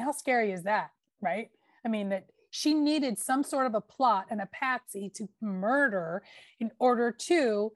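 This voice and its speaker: A woman's voice: a little high, no room sound. Woman podcast host, chatty emphatic storyteller, dry close mic. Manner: chatty, emphatic, filler-heavy retelling. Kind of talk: casual true-crime storytelling.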